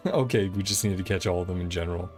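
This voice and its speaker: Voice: low-pitched, quick pace, darkish tone, faint music behind. A game streamer chatting casually over gameplay in an easygoing, encouraging way, often laughing while talking. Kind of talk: casual livestream gameplay commentary and chat.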